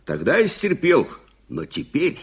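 An older male actor playing a bitter, proud old man in a radio drama, husky and theatrical, with sarcastic emphasis. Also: on the high side, huge pitch swings, measured pace, heavily acted. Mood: indignant.

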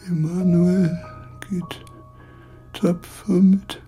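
An actor playing a frail, muddled old philosopher near death in a radio drama, with a husky, weakened voice and halting, repetitive speech. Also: male voice, sits high, flat delivery, warm tone, soft background music, full character voice. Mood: feeble. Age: older. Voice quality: husky, creaky, breathy, weakened voice. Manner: halting, faltering, repeating words.

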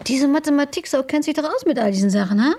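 A woman's voice: sits high, lively intonation, quick pace, mellow. An actress playing a brash, defiant young woman with coarse, blunt speech. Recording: distant mic.

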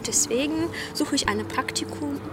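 Ukrainian woman speaking careful German. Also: sits high. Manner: careful, slightly halting non-native phrasing. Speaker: job seeker at a graduate fair. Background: music.

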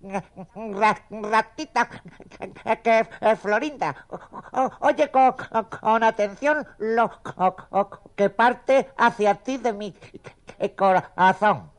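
A man voicing a bumbling, nervous male cat who stammers haltingly in a children's audio story. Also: sits high. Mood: nervous, lovesick.

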